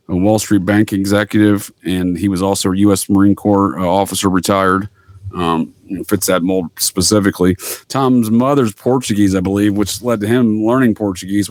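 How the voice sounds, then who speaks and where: A man's voice: lowish voice, dry recording. A man speaking as a podcast guest in casual conspiracy talk, telling rambling stories with slangy, emphatic repetition.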